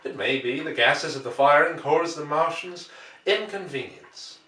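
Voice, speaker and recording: Guy reading an old novel out loud, dramatic storyteller pacing, kinda far from the mic, lots of room echo. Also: a little high, a little slow, slight background noise. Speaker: audiobook reader. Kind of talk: dramatic literary narration, reading aloud. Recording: echoey room, distant microphone.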